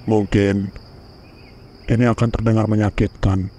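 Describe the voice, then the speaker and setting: A man's voice: fairly low pitch, flat delivery, unhurried, warm tone, a little hiss or hum, lightly acted. A man voicing a secretive, evasive older village uncle in a horror cartoon, explaining in a matter-of-fact way. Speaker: voice actor. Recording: clear music under voice.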